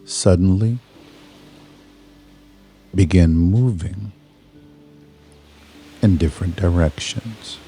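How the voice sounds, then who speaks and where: A man with a deep, mellow voice leads a guided meditation. He speaks extremely slowly, in short, soothing phrases with long pauses, over background music. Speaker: guided meditation and hypnosis narrator. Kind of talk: slow scripted guided meditation.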